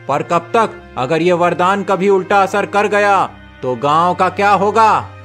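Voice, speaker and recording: A man's voice: high voice, slow pace, a bit bright, soft background music, pitched above the performer's own voice. A man voicing a suspicious, sceptical villager in a cartoon story, doubting and agitated.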